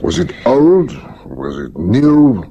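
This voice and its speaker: An actor with a South African accent playing a brooding, probing police lieutenant in a radio crime drama, ruminative yet pressing. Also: male voice, medium pitch, wildly swooping pitch, slow pace, gravelly, dark tone, dull audio, slight background noise, heavily acted. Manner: ruminative, hesitant, then pressing.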